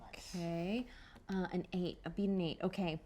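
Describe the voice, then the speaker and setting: A woman playing a tabletop roleplaying game, casual and playful, thinking out loud as she plans her moves. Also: fairly low pitch, steady tone, moderate pace, a little hiss or hum. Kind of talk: casual improvised game play.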